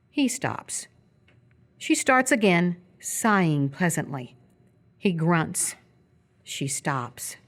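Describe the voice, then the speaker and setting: A woman narrates a live radio play from a script. Her voice is on the low side as she reads out stage directions in the present tense.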